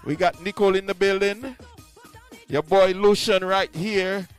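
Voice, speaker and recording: High-pitched male radio hype host over music. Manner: repeats phrases, addresses the audience as a hype man. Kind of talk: hype show intro patter. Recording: music playing behind the voice.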